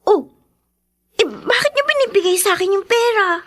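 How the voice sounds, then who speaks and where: A woman playing a patient, caring fifteen-year-old daughter in a radio drama, earnest and gently chiding. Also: extremely high pitch, expressive, moderate pace, dry recording. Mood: tender.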